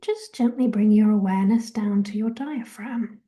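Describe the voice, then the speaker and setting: A woman leading a guided meditation in a soft, gentle, breathy half-whisper, giving soothing body scan instructions. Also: mid-range voice, moderate pace. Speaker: guided relaxation and meditation host.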